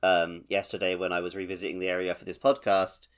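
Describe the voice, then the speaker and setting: A man's voice: sits low, monotone, fast, clear, resonant tone. A man hosting a podcast, chatting casually about a video game. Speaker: podcast host.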